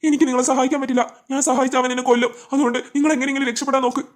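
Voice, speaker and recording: Woman as a timid henchman, very fast. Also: sits high, little pitch movement, close to an everyday voice. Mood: worried, confiding. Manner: nervous, deferential. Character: timid, kind-hearted male henchman, obedient but worried. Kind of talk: amateur cartoon dub in Malayalam.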